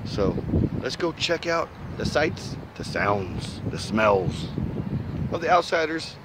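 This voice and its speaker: A man's voice: on the low side, very animated, slow. A man in his forties vlogging casually from a car show, talking straight to his viewers and calling them folks. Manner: chatty, keeps addressing folks. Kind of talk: casual vlog narration. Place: outdoor car show, then loud indoor party. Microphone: handheld camera at arm's length. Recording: loud crowd and street noise.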